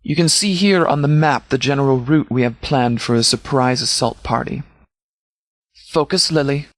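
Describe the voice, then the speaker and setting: Man acting a formal, measured military commander. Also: medium pitch, dry recording, close to an everyday voice. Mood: businesslike. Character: stern, caring male military commander briefing troops. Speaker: video game voice actor. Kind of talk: scripted game dialogue.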